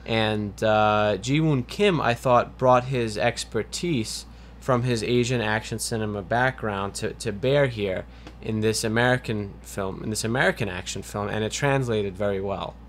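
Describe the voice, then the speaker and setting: A man co-hosting a review show, giving conversational criticism in a measured, analytical, wordy manner, with noise behind him. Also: fairly low pitch. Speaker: film review show co-host. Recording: clear background noise.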